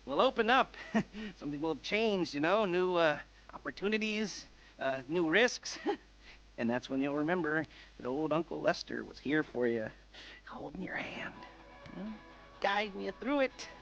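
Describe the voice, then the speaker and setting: An actor playing an awkward, creepy, nerdy middle-aged heist planner in a video game, talking in a halting, rambling way with nervous chuckles. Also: male voice, medium pitch, bright, forceful tone, low-quality recording. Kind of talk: video game cutscene acting.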